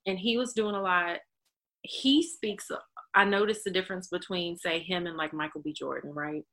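明るく張りのある声の女性. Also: female voice, lowish voice, steady tone, moderate pace, a bit bright. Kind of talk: casual conversation.

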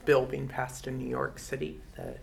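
An interviewer asking questions slowly and hesitantly, with many pauses and fillers. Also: mid-range voice, level tone, vocal fry, a little hiss or hum, poor audio. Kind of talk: oral history interview questions.

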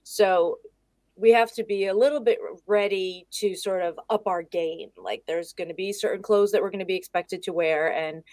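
A woman's voice: medium pitch, fairly even intonation, normal speed, darkish tone, no echo. A woman speaking as a guest expert on a video call, casual, candid and chatty. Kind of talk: casual conversation.

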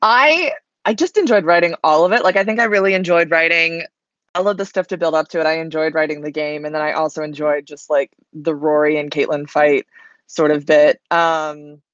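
A woman's voice: low voice, brisk, fairly bright tone, no room sound. A woman speaking casually as a writer on a panel, thinking aloud in a conversational way. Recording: dull lo-fi audio.

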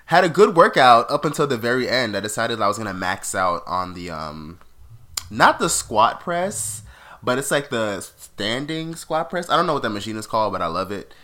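A man's voice: mid-range voice, moderate pace. A man co-hosting a casual podcast, sick and a little nasal, telling stories with laughs and sudden bursts of energy. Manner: animated, laughing storyteller. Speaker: podcast co-host.

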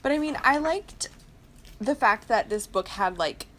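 A woman's voice: on the high side, animated, a little slow, a bit bright, slight background noise. A tipsy woman co-hosting a casual podcast chat, giggly and rambling, with "like" peppered through her sentences. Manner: giggly, rambling, heavy use of "like". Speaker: podcast co-host.

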